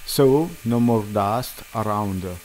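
A man's voice: on the low side, slow pace, a little mellow, slight background noise, poor audio. A man in his forties, host of a woodworking channel, giving a plain scripted voice-over that walks through a build in slightly non-native phrasing. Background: faint background music.

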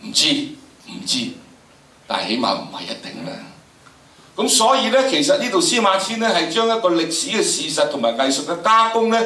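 Older male teacher, Hong Kong Cantonese accent, forceful and emphatic lecture delivery, heard in an echoey hall. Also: sits high, big pitch swings, distant mic. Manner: emphatic, drawn-out phrasing with frequent rhetorical questions. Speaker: teacher of history and drama. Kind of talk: animated lecture to students. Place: large indoor lecture theatre.

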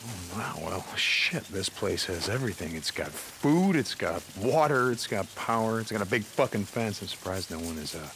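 A wary American male drifter in a post-apocalyptic video game. His voice is low and he talks bluntly, with a sardonic, muttering gruffness. Clear ambient noise runs behind him. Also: lively intonation, moderate pace, hardly any pauses, fairly bright tone, poor audio. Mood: impressed. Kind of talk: naturalistic dramatic game cutscene acting.

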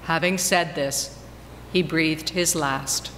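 Bright-voiced scripture reader in an echoing church. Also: medium pitch, fairly even intonation, slow. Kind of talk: solemn dramatic scripture reading. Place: large church interior before a congregation. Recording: long reverberant church hall, background noise.

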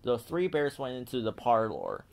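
Male storybook narrator for kids, mid-pitched, very animated delivery. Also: normal speed, creaky. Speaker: children's book read-aloud narrator.

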